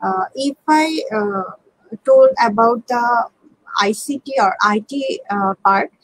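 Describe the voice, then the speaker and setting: A woman's voice: on the high side, rough recording. A woman from Bangladesh, answering as a panel guest in a hesitant, careful way.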